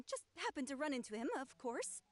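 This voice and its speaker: A woman's voice: sits high, big pitch swings, moderate pace. A young woman playing a lovelorn, defensive researcher in a video game, huffy and dramatic. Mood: worried, evasive. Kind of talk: anime-style video game voice acting.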